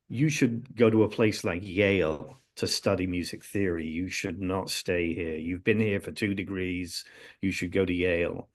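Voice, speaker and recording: Older guy, really low voice, telling career stories on a video call from his office. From England. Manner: relaxed storytelling, joking asides. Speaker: music theory professor. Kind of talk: casual interview. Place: office, indoors. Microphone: video call, low-angle webcam.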